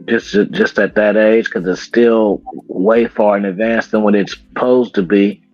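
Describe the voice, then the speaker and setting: A man hosting a casual interview, relaxed and slangy, stumbling over restarts, on dull, dry lo-fi audio. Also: fairly low pitch, unhurried, no echo, faint music behind. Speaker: interview host.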